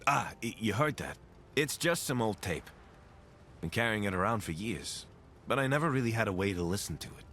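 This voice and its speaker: Low, expressive wasteland companion. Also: male voice, fairly quick, slight background noise. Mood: casual, evasive. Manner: casual, hesitant, conversational. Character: wry, wounded wasteland companion, adult man. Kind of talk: video game companion dialogue.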